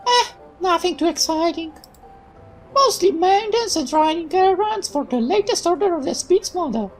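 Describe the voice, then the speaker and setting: Brash young woman mechanic, high, exclaiming delivery, voiced by a female reader. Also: expressive, moderate pace, soft background music, low-quality recording. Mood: eager. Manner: exclaiming, indignant, high-pitched. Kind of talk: reading visual novel dialogue aloud.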